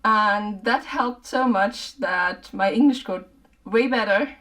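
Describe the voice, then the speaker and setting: A woman with a German accent, narrating her language learning in a casual vlog, in a clear, measured way. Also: medium pitch, fairly bright tone, recorded from a distance. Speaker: vlogger.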